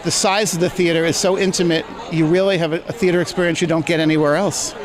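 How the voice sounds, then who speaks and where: A man's voice: fairly high pitch, fairly even intonation, quick pace. A man who supports a local theater, talking plainly about it in a red-carpet interview outdoors at a gala. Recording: loud crowd chatter behind.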